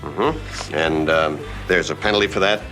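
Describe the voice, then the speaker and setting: Low hesitant guy, lots of uhs, guitar music behind. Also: bright, forceful tone. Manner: hesitant, halting with fillers.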